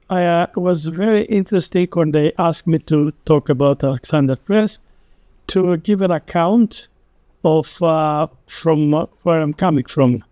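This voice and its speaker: Halting older Greek-accented man. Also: fairly high pitch, mellow, no echo. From Greece. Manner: halting, many filler pauses. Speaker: publisher recounting company history. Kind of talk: unscripted talk.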